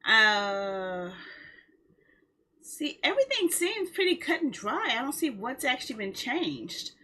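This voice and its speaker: A woman chatting casually on a livestream in a rambling way, with a husky voice. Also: fairly high pitch, very animated, slow, bright, forceful tone, slight background noise. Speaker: live streamer.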